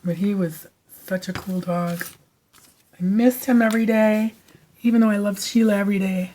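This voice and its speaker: Raspy chatty woman rambling at home. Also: medium pitch, steady tone, moderate pace, mellow, rough recording. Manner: chatty, digressive, lots of fillers. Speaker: crafting channel host. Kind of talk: rambling casual chat. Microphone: no microphone, camera mic.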